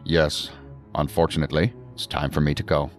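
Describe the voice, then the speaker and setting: An actor playing a measured senior federal agency director in a sci-fi audio drama, formal and deliberate in his speech. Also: a bass voice, level tone, faint music behind. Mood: tender, solemn.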